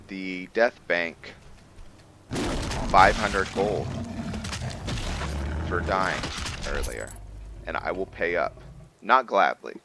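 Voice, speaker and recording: A man giving casual roleplay game commentary, thinking aloud in a halting way and trailing off mid-sentence. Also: sits low, background noise. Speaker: gaming video host.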